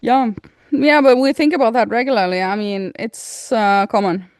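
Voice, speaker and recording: A woman's voice: fairly high pitch, fairly even intonation, moderate pace, darkish tone, dry recording. A woman co-hosting a podcast in casual chat, informal and a little hesitant, often murmuring agreement. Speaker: podcast co-host.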